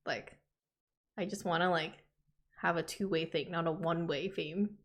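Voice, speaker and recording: A woman's voice: lowish voice, steady tone, moderate pace. A young adult woman chatting informally as a podcast co-host. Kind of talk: casual chat.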